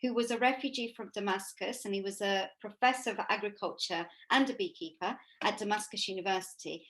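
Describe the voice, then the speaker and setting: A woman speaking as an interviewed author, giving informal, reflective answers that come out haltingly as she thinks. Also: mid-range voice, fairly bright tone, low-quality recording.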